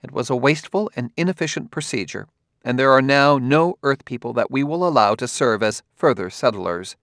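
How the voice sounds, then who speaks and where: A man's voice: medium pitch, animated, a little fast, a bit bright, dry recording, studio quality. A man voicing a haughty, condescending woman scientist in an audiobook, speaking precisely as if lecturing. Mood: lecturing, curt. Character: haughty, cool woman scientist of a spacefaring world.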